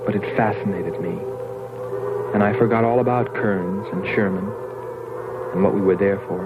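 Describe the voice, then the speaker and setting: An actor playing a reflective male astronaut recalling an expedition in measured 1960s science fiction film narration. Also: on the low side, steady tone, natural voice. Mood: wistful, reflective. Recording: muffled noisy old film soundtrack.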